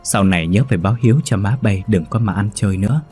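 A man voicing a kindly older healer who reassures and gently admonishes. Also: lowish voice, quick pace, faint music behind, lightly acted. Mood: calm. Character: older male healer-priest, kindly and wise.

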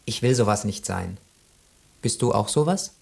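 Naive, earnest pampered tomcat. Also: male voice, lowish voice, animated, moderate pace, vocal fry, a little mellow, husky, heavily acted. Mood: puzzled, naive. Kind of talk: German radio play acting.

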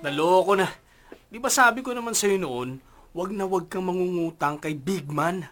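An actor playing a worried, nagging young Filipino friend in a radio drama, slangy and reproachful. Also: male voice, sits high, animated, moderate pace, over music.

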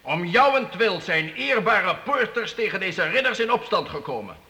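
An actor playing a stern but fair medieval count in a radio drama, commanding and formal. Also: male voice, high-pitched, sing-song, normal speed, bright, forceful tone, heavily acted. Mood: measured, grave. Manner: commanding, lordly, formal archaic speech.

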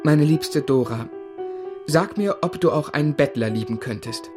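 An actor playing an earnest, ardent young gentleman who also narrates a radio play, in a literate, romantic manner. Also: on the high side, expressive, moderate pace, over music, natural voice. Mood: pleading, ardent.

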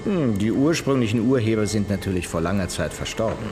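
An actor playing a talkative, cynical musical producer in a radio crime drama, telling things expansively. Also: male voice, on the low side, animated, fairly quick, noisy background, lightly acted. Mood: businesslike.

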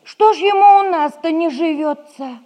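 An actress playing a fussing, kindly but crafty household nanny, folksy and gossipy, in a theatrical 1960s audio play. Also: female voice, extremely high pitch, moderate pace, mellow. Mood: gossipy, confiding.

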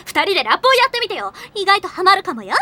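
A woman playing a brash, impatient high-school girl band leader who gives forceful orders in an anime audio drama. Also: sing-song, racing, heavily acted. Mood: bossy. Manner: commanding, forceful, brusque.

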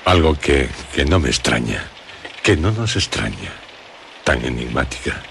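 Very deep, grave man. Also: big pitch swings, unhurried, gravelly, a bit bright, a little hiss or hum, full character voice. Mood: pensive. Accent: Castilian Spanish. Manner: terse, questioning. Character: grave, probing male confidant. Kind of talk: radio drama acting.

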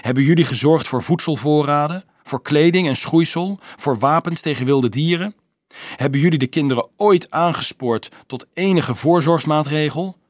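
A man's voice: mid-range voice, sing-song, normal speed, no echo, clean studio sound. Man playing a firm, proud teenage boy. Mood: proud, challenging. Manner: firm, emphatic. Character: proud, reasoning teenage boy standing up to leaders.

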